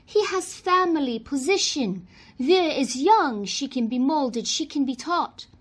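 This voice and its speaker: Actress as haughty society secretary, high husky voice, swooping emphasis, prim, old-time radio play. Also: female voice, animated, a little slow. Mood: imperious. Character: haughty, proper, anxious society social secretary woman.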